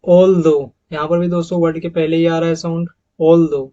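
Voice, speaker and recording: An Indian man with a slightly high voice teaches English pronunciation over a slide deck, addressing viewers as friends. He says each example word several times in a row. The recording is dull and lo-fi. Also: flat delivery, dark tone. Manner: repeats model words slowly, addresses viewers as friends. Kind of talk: phonetics lesson over slides.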